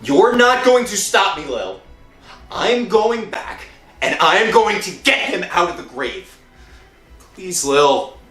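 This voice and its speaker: An anguished, volatile, guilt-ridden thief. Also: male voice, high-pitched, very animated, slow pace, heavily acted. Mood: grimly determined. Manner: melodramatic, anguished, swinging from hushed to shouting. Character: desperate, guilt-ridden poor young man, thief, narrating his ordeal. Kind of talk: 1960s radio thriller acting. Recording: roomy old radio recording with music.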